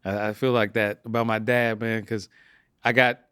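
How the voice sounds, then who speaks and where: A man's voice: on the low side, little pitch movement, normal speed, pushed and bright, smooth, no room sound, studio quality. A man hosting an interview, friendly and affirming, answering with short agreeing replies. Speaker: host. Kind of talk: casual interview talk.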